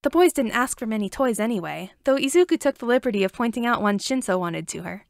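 Woman reading a story out loud, kinda high voice, really up and down, dry sound. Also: lively intonation, quick pace, vocal fry, no room sound. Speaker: amateur audiobook narrator. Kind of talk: scripted story narration.

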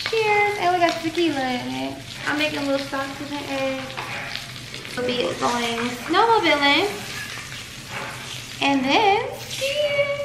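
Chatty young woman vlogging, high voice. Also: echoey room. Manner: chatty, playful, self-filming. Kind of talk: casual vlog chat. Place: rental house and outdoors in snow. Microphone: handheld camera at arm's length. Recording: noisy handheld camera audio.

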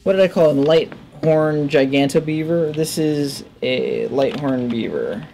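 A man in his thirties giving casual game commentary, thinking aloud in a halting, musing, bemused way into a headset mic. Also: on the high side, lively intonation, vocal fry, dark tone, slight background noise. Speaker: gaming video host. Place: indoor room at a desk.

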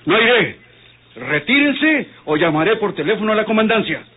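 An actor playing a proud, indifferent, ageing rich doctor in an old radio drama, speaking formally and indignantly. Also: male voice, high-pitched, very animated, moderate pace. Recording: tinny narrow-band old radio drama, faint noise.

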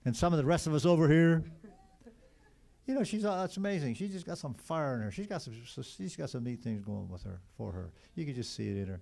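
A man's voice: on the high side, lively intonation, a little fast, creaky, mellow. An older man, a pastor, speaking on a church stage into a handheld mic, folksy and teasing. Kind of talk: graduation ceremony remarks.

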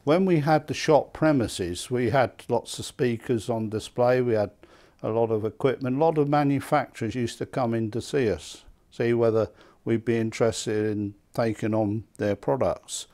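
A man in his seventies with an English accent, reminiscing conversationally in an interview, halting and repeating words. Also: mid-range voice, warm tone. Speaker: loudspeaker maker being interviewed. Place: small indoor hi-fi demonstration room. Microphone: lapel mic.

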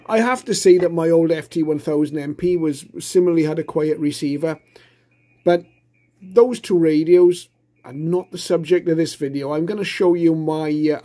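Mellow man, slightly high voice. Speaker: radio hobbyist host. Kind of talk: casual narrated demonstration. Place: quiet indoor room, radio shack.

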